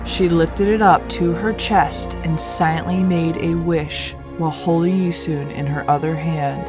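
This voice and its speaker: A woman narrating a children's folktale as a read-aloud, with expressive, deliberate delivery. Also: lowish voice, music in the background. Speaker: storyteller.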